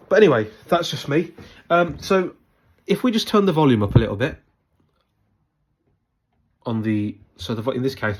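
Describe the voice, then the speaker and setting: A man giving a hands-on spoken product review in a hesitant, repetitive, measured but opinionated way. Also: fairly low pitch. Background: background noise and a radio.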